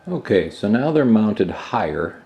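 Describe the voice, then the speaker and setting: Low-voiced man musing in a roomy garage. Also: unhurried, creaky. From Minnesota, USA. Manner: relaxed, musing, thinks aloud with fillers. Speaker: motorcycle builder and channel host. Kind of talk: casual workshop vlog. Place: home garage workshop. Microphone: camera mic, moving around the room. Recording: roomy garage, sometimes far from mic.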